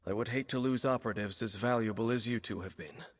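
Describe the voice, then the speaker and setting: An actor voicing a sober, dutiful commander giving a measured, formal, serious quest briefing in a video game. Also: male voice, lowish voice, lightly acted. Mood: grave, concerned. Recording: game dialogue over background music.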